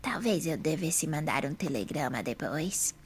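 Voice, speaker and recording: A woman playing a serene, frail old lady in an audio drama, with a hoarse, breathy voice and slow, genteel pauses. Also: sits low, animated, full character voice. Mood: fondly reminiscing. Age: older.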